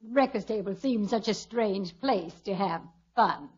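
A woman's voice: medium pitch, unhurried, darkish tone, lightly acted. A woman playing a critical, bossy older mother-in-law, prim and condescending, in a 1940s radio comedy. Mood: haughty, critical. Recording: muffled old radio recording.

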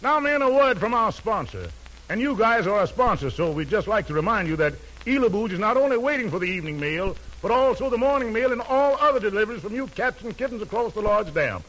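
Male American radio host, very high voice, showy jive patter, old 1940s broadcast sound. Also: sing-song, quick pace, fairly bright tone, low-quality recording. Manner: showy, slangy delivery.

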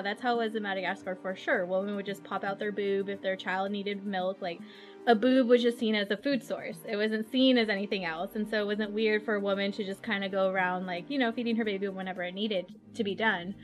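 A woman's voice: mid-range voice, flat delivery, fairly quick. Bubbly woman host, faint music. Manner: bubbly, laughs often, many likes. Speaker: podcast host. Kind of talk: casual podcast chat.

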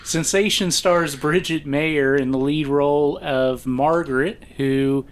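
A man's voice: on the high side, slow pace. Dry, teasing man hosting a podcast. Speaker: podcast host. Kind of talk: casual podcast chat.

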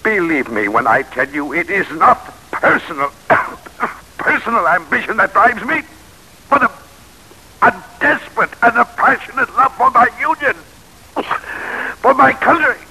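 A man's voice: sits high, animated, a little slow, vocal fry, bright, forceful tone, breathy edge, exaggerated voice, rough recording. A man acting in an old radio drama as a proud, embittered 19th-century American statesman, theatrical and oratorical. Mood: desperate pleading. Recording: vintage radio drama recording.

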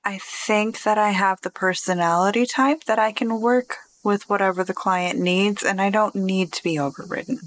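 A woman co-hosting a podcast, chatting casually in a relaxed, self-assured way. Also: medium pitch, normal speed, few pauses, low-quality recording. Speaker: podcast co-host. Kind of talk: casual chat.

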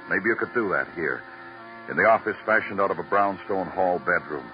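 An American man playing a terse, brooding police lieutenant and poetic narrator in a classic radio detective drama. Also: deep voice. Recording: dull, lo-fi old radio recording.

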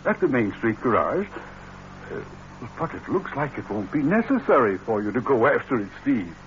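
Guy playing a kindly rich older gent, fatherly, lots of pitch swing, muffled old radio sound. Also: big pitch swings, normal speed, close to an everyday voice. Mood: genial, helpful. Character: kindly, generous wealthy older gentleman benefactor. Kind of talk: 1940s radio drama acting. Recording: muffled, faintly noisy old radio recording.

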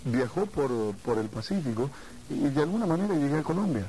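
A mature man from Argentina reminiscing in a conversational interview, haltingly, repeating words as he searches for the next. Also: mid-range voice, very animated, mellow, slight background noise, low-quality recording. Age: middle-aged or older. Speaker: singer being interviewed.

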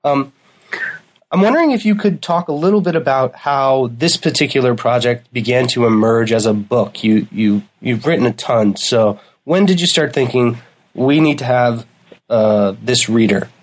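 A man's voice: medium pitch, normal speed. A man interviewing a guest, asking questions with curiosity and engagement.

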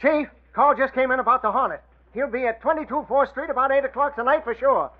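An excitable, no-nonsense city editor from an old radio serial. The voice is very high-pitched and brusque. It comes through a muffled, narrow 1940s recording. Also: male voice, fast talker. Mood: excited. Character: excitable, gruff-talking newspaper city editor. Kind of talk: 1940s radio drama acting.

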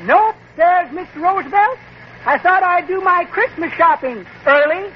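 A man's voice: very high-pitched, lively intonation, measured pace, highs cut off. An actor playing a folksy old man who tells jokes with a cackling drawl, in a 1940s radio comedy. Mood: chuckling. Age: over 60. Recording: hissy old radio broadcast recording.

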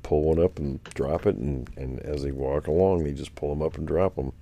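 A man's voice: rumbling low, moderate pace, creaky, mellow. A man talking casually on a podcast, recounting field finds in a rambling way with many restarts. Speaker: guest anthropologist.

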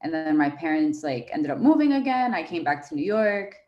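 A young woman from Venezuela, a fashion brand founder, talking as a podcast guest in a relaxed way with many fillers. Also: low-pitched, a little fast, highs cut off. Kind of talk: casual interview chat.

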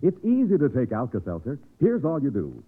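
A man's voice: wildly swooping pitch, brisk, breathy edge. A man with an American accent reading a 1940s radio commercial as a polished, emphatic announcer. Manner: emphatic, polished sales pitch. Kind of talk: 1940s radio advertisement read. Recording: old radio broadcast, narrow phone-like sound.

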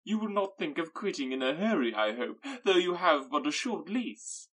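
A man voicing a fussy, excitable middle-aged mother, shrill and gushing, in an audiobook. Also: normal speed.

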